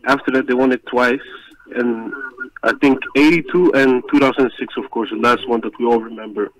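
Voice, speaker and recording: A man from the Netherlands calls in to a sports show. His delivery is even and little varied in pitch, with measured, slightly halting phrasing as he gives his opinion. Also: low-quality recording. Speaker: caller to a live show. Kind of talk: phone-in opinion.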